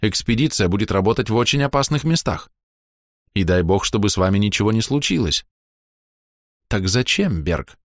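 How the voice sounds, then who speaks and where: A man's voice: fairly low pitch, expressive, normal speed, long pauses, no room sound, clean studio sound. A man voicing a blunt, sceptical statesman in his forties in an audiobook, direct and emphatic. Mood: probing, sceptical.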